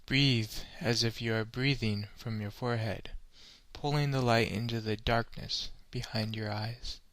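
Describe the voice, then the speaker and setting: A man leading a scripted guided meditation in a measured, solemn, instructional manner. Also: medium pitch, fairly even intonation, a bit bright, no room sound.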